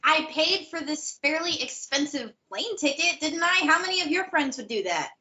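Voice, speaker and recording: Woman acting exasperated caring friend, higher voice. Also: expressive, moderate pace, bright, forceful tone. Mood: indignant. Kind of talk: table read of a stage play. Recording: dull, muffled recording.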